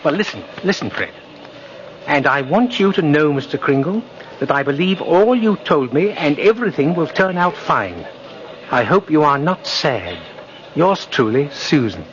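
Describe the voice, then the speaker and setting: Man acting a kindly old gentleman, lively swooping pitch. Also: lightly acted. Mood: tender, serene. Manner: gentle, coaxing, halting repeats. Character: kindly old man claiming to be Santa Claus, gentle, twinkly. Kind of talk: 1950s radio drama acting. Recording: muffled, noisy vintage radio recording.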